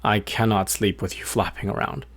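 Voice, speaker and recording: Man as a big mean wolf, tired, grumbling, creaky low voice, secretly kind, storybook. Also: steady tone, moderate pace, fairly bright tone. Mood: weary bargaining. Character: big mean wolf, tired, grumpy but soft-hearted.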